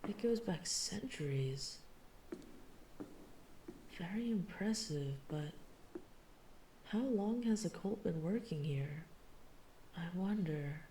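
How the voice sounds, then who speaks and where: Low, mellow woman as a weary vampire huntress. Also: animated, takes it slowly, long pauses, a little hiss or hum, low-quality recording. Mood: hushed wonder. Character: guarded, weary female vampire hunter who is herself a vampire. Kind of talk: ASMR roleplay audio drama acting.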